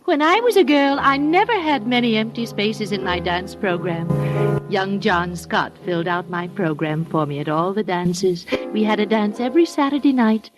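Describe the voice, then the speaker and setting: A woman's voice: medium pitch, expressive, moderate pace, over music, close to an everyday voice, poor audio. An actress playing a coquettish, wistful mother lost in her girlhood in a radio drama, with a genteel, girlish, mannered delivery. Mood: nostalgic. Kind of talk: old-time radio drama acting. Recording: old radio broadcast with musical underscore.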